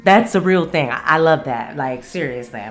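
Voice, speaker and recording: A woman's voice: deep voice, expressive, normal speed, faint music behind. A woman hosting a solo podcast in a casual, candid, playful way, swearing freely. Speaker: podcast host.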